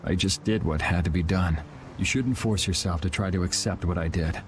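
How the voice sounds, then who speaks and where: A man playing a calm, blunt young vigilante swordsman in a video game, laid-back and matter-of-fact. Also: low-pitched, moderate pace, noisy background, lightly acted. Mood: grave, resolute.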